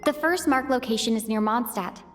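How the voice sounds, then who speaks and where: Woman doing a young female traveler, methodical, reasoning aloud, slightly high voice, soft background music. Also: normal speed, lightly acted. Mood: thoughtful. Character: thoughtful young female traveler piecing together clues. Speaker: the traveler in a fan dub. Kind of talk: fan voice acting of a game cutscene, inner monologue.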